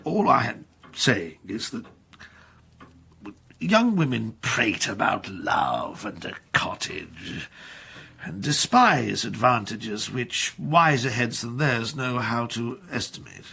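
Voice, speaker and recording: An actor playing a wily, pompous older aristocrat in a period radio drama, with a husky, gravelly, effusive delivery. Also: male voice, medium pitch, very animated, slow, sharp tone, heavily acted. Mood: pompous, gracious. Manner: pompous, effusive, hesitating for effect. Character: blustering, wily older aristocrat, an impecunious earl and father.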